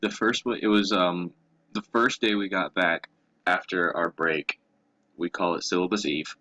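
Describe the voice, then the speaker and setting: A man's voice: low voice, fairly even intonation, measured pace, pushed and bright, highs cut off. A young man chatting casually and telling stories as a co-host, in a crude, profanity-laden way. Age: young adult. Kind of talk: casual chat, storytelling.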